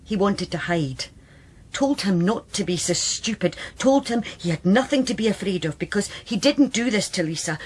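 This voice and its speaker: An actress playing a brittle, anguished sister in a radio drama, with a Scottish accent and a halting, agitated, bitter delivery. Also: female voice, medium pitch, lively intonation, husky. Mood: distressed, bitter. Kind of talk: naturalistic radio drama acting.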